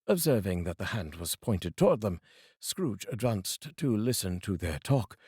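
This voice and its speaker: A man reading a classic story aloud as narrator, dramatic and expressive. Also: lowish voice, a little slow, husky, dry recording, studio quality.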